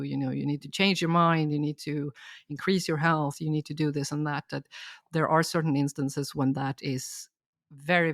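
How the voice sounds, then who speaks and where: Lady, a bit of vocal fry, calm explainer, dry close sound. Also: sits low, no echo. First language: Swedish. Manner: thoughtful, explanatory, steady. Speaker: guest expert. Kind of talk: reflective podcast conversation.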